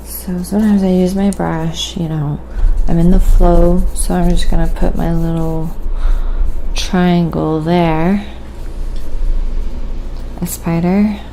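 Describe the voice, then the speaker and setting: Quiet asmr painter lady, soft n whispery at times, lots of vocal fry, noisy background. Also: lowish voice, steady tone, slow. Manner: soft-spoken, sometimes dropping to a whisper. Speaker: live-streaming painter. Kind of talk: quiet ASMR painting tutorial with casual chat.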